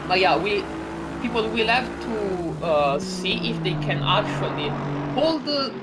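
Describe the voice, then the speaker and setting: A woman's voice: fairly low pitch, lively intonation, a little slow. A woman with an Italian accent giving chatty live play-by-play commentary on a sim race, with many fillers and restarts. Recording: loud racing car engine noise behind. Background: loud game car engines.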